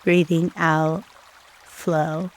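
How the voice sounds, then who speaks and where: A woman leading a guided meditation in a soothing, unhurried way, leaving long pauses between short phrases. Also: sits low, little pitch movement.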